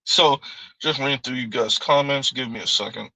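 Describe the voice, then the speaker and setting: A man's voice: mid-range voice, moderate pace, vocal fry, bright, forceful tone, dry recording, low-quality recording. A man hosting a live stream, reading viewer comments aloud and answering them in loose, conversational commentary that keeps circling back with "right?" and frequent laughter.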